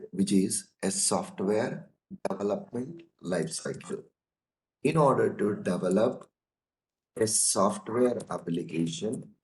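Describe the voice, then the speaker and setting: Hoarse man with a cold, slow repetitive lecturing, calls listeners guys, low voice. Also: darkish tone, reverberant, slight background noise, rough recording. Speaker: software testing instructor. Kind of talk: casual online lecture.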